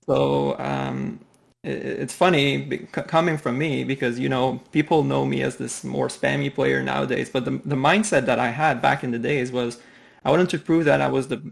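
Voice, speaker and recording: A man's voice: mid-range voice, normal speed, gravelly. A man from Quebec, a native French speaker with a Quebec French accent, in his thirties, answering interview questions on a call with many fillers and restarts. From Quebec, Canada. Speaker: podcast guest. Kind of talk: casual interview chat.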